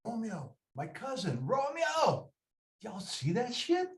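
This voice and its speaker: A man playing a brash, excitable young street buddy, talking slang in a table read over a distant video call mic. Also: extremely high pitch, takes it slowly, reverberant, exaggerated voice. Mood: hushed, then urgent.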